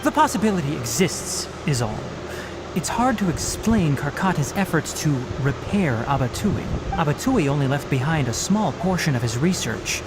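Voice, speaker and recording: An actor playing a calm young male forest ranger and scholar who speaks in a measured, precise, lecturing way. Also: on the high side, very noisy. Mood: thoughtful. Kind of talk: video game voice acting. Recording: game audio with loud music. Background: loud game music.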